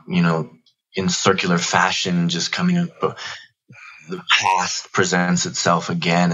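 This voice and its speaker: A man in his forties talks as a podcast guest in a deep voice. He is earnest and halting, telling his story reflectively. The audio is a little dull and lacks top end. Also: a bit bright. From Toronto, Canada. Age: 40s. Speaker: podcast guest, activist spokesperson.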